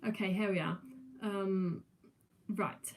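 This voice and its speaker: A young woman with a mixed southern English and New Zealand accent chatting casually on a live stream, halting with many ums and restarts, recorded without a proper microphone. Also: medium pitch, fairly even intonation, faint music behind. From England, raised in New Zealand. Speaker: musician streamer.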